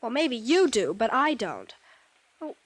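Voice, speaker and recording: A woman's voice: a little high, big pitch swings, measured pace, no room sound. An American woman playing a young, naive, eager ranch granddaughter in a 1950s radio drama, girlish, earnest and a little hesitant. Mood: chatty, puzzled.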